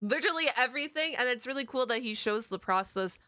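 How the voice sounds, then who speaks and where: Bright, high-voiced woman reacting casually. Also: fast, dry recording, studio quality. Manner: expressive, exclamatory, repeats phrases. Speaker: reaction streamer. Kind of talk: casual video reaction commentary.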